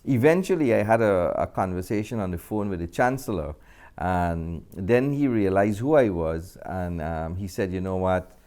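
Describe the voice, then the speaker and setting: A man with a deep voice and a Guyanese accent talks as an interview guest. He is a middle-aged actor and director, answering in a conversational, storytelling way. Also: lively intonation, normal speed, mellow.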